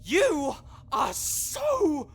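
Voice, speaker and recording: A man's voice: very high voice, wildly swooping pitch, drawn-out words, vocal fry, heavily acted. A man playing a grouchy, scholarly necromancer in an audio roleplay, condescending, pedantic and sarcastic. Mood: indignant outrage. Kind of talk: audio roleplay acting addressed to the listener.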